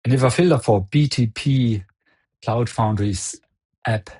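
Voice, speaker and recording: Husky-voiced man presenting a software demo. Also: medium pitch, measured pace. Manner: explanatory, step-by-step walkthrough. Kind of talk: live product demo presentation.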